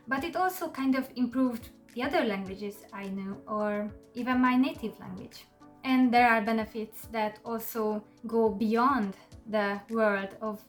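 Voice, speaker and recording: Hungarian-native woman, slightly high pitch, easy chatty vlog delivery, faint music bed. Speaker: channel host. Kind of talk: casual talk to camera.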